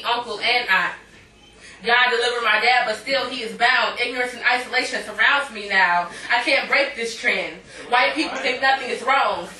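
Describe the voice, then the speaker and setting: A woman's voice: a little high, pushed and bright. A woman poet performing a live spoken-word reading of verse. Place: indoor cafe poetry night. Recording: echoey live room, distant microphone.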